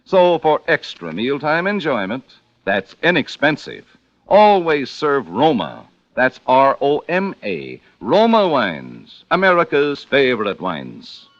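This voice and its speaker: Animated male 1940s radio announcer, muffled. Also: mid-range voice, slow. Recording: muffled vintage radio broadcast.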